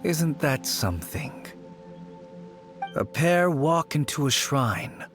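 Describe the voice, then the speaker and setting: A man playing a serene, wry, philosophical shrine dweller in a video game, with measured, old-fashioned formal phrasing. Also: on the high side, sing-song, fairly bright tone, full character voice. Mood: wry amusement. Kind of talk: video game character acting. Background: faint music.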